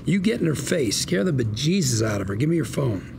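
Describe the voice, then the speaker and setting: Glib, gravelly con-artist lawyer. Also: male voice, mid-range voice, big pitch swings, brisk, background noise. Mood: scheming, emphatic. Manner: glib, persuasive patter with colourful slangy quips. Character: fast-talking male con-artist lawyer, slick, cajoling, schemer. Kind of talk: screen drama script read at a table read.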